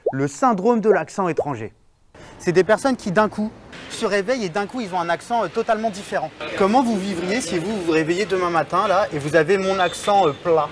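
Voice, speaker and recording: A man presenting a popular neuroscience segment, narrating playfully and asking passers-by questions. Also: high-pitched. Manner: lively, explanatory, playful. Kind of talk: narration and street interviews.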